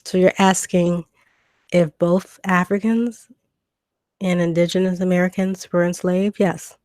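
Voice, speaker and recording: A woman, hoarse from a cold, hosting a live stream and answering viewer comments in a relaxed chat with lots of ums. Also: lowish voice, measured pace, dry recording. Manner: conversational, reads comments aloud and answers them, frequent ums.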